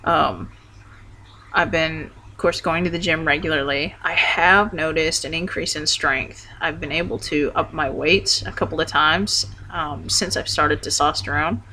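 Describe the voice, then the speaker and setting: A young man chatting casually to camera, taking his time and halting often with ums and false starts. His voice has a bright, sharp edge and wavers between deeper and higher notes. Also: steady tone, slight background noise, low-quality recording. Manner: hesitant, rambling, full of ums and restarts. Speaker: vlogger. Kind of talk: casual video update.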